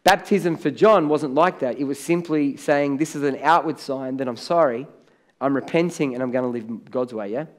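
A middle-aged Australian man preaches from a large church stage, his voice sitting a little high for a man. He talks in a conversational way, then presses up hard on the words he wants to land. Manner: conversational preaching, rising to emphatic on key words. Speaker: pastor. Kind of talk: sermon.

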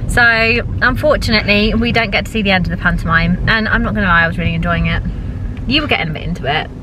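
A woman's voice: mid-range voice, fast. An English woman in her thirties chatting casually and informally to a vlog camera. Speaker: vlogger. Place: parked car, later indoor room at home. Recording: clear background noise of car and room.

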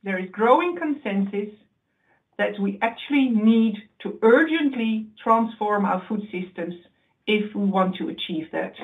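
A woman giving a webinar talk from slides, explaining in a measured way with occasional slips and restarts. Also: medium pitch, expressive. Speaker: research presenter. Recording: tinny phone-like audio.

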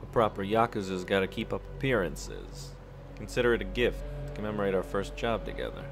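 A man acts out a shady middle-aged loan shark from a crime video game. His low voice runs through smooth, worldly patter, always selling something. Music plays behind him. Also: sing-song, a little fast. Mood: worldly, lecturing. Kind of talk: fan dub video game acting.

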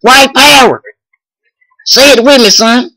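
Man putting on a high-pitched, brash redneck caller. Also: takes it slowly, creaky, pushed and bright, dry recording, heavily acted. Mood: boastful, pushy. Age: young adult. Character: brash bigoted rural Southern man, prank caller. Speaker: prank caller. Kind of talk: comic prank phone call. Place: small room indoors, close to a webcam, holding a phone.

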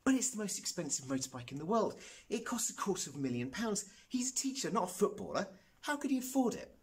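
A man's voice: medium pitch, big pitch swings, fast, breathy edge. A man voicing an eager, cheeky and incredulous schoolboy in a children's comedy audiobook.

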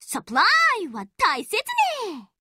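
A woman's voice: very high-pitched, huge pitch swings, slow, heavy background noise, heavily acted. A woman voicing a bubbly, doting battleship girl for an anime game, peppy and exclaiming, with sprinkled with English words. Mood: bright, affectionate. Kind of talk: anime game character voice lines, hourly announcements.

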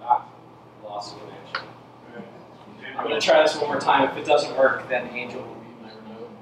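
A man's voice: medium pitch, moderate pace. A man giving a casual, joking tech presentation to a meetup audience on a handheld mic, with many fillers. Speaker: meetup speaker. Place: large indoor event space with audience. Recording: echoey room, mic from far off, noisy.

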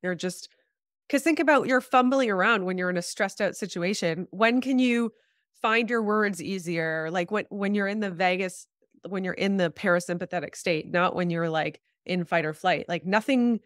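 A woman's voice: mid-range voice, moderate pace, dry recording, studio quality. A woman in her thirties with a slightly congested voice, explaining health topics informally as an interview guest on a video call. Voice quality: slightly congested, stuffed-up nose. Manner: informal, frequent "like" and "you know". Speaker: guest health practitioner, chiropractor and acupuncturist. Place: small room at home, video call.

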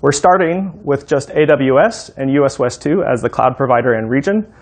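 A man in his thirties walks through a software demo. His voice is mid-pitched with plenty of vocal fry, and he explains things one step at a time. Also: normal speed. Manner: instructional, step by step. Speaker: software engineer, demo presenter. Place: room in a home.

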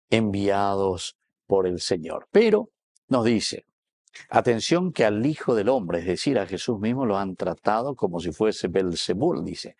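Low-voiced Paraguayan priest. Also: male voice, darkish tone. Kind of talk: sermon with scripture reading. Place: small indoor wood-panelled chapel. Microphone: lapel mic.

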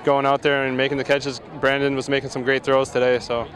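A man's voice: medium pitch, little pitch movement, brisk, a bit bright. A young man, a college football player, giving easygoing short postgame answers outdoors on a field. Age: young adult.

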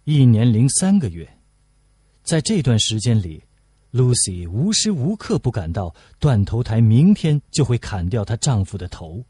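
A man's voice: mid-range voice, sing-song, measured pace, mellow, husky, dry recording. A man reading literary prose aloud as an audiobook narrator.

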